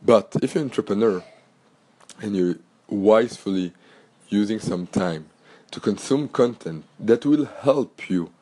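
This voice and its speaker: A middle-aged man with a French accent, hoarse from a cold, hosting a solo podcast in an earnest, motivational way. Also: on the low side, a little slow, warm tone, poor audio. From Belgium. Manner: earnest, repetitive and motivational, with restarts. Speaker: podcast host.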